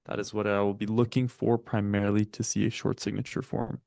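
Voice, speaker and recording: A man with a low, gravelly voice runs a live trading stream, working through chart setups and price levels step by step between quick chats with his viewers. He was raised in the States, though French was his first language. The sound is dry and close, with no room around it. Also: flat delivery, darkish tone, no echo. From United States. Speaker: day-trading stream host. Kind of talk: live market commentary.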